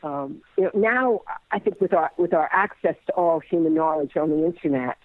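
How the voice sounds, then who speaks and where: A man giving an interview in a halting, thoughtful, bookish conversational way. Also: fairly high pitch, no room sound, narrow, tinny audio. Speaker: interview guest.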